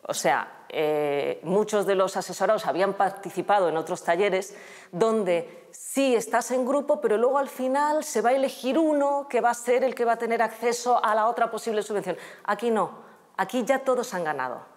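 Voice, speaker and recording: A woman's voice: mid-range voice, normal speed, a little mellow. Reflective woman, long reverb. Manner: reflective, gesturing. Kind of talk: interview answer. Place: bright room with windows.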